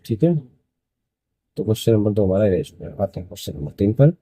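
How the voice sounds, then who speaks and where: Dark, muffled-toned young male maths teacher. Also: on the low side, very animated, fast talker, husky. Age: early 20s. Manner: repeats phrases, asks and answers own questions. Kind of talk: step-by-step school maths lesson.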